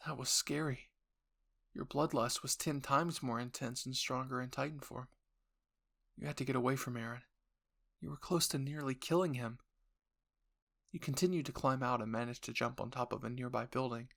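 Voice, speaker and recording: A man's voice: medium pitch, fairly even intonation, normal speed, creaky, pauses often, fairly bright tone. A man narrating a scripted second-person story as the host of a roleplay channel.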